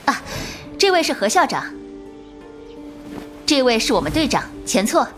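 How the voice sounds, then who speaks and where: A breezy, teasing young policewoman in an animated crime drama. Her high voice bounces around in pitch, playful and sure of herself. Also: normal speed, faint music behind. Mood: breezy, polite.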